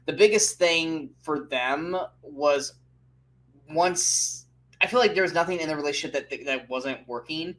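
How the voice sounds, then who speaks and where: A man with a slightly higher voice chats casually on a movie podcast. He talks in an animated, loose way, full of fillers and quick laughs, and swears now and then. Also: poor audio. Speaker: podcast co-host.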